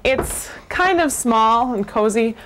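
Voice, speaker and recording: A woman's voice: fairly high pitch, animated, takes it slowly, a bit bright, rough recording. A young woman, a student, giving a casual guided tour. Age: young adult. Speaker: student showing her dorm. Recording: clear room noise and clicks.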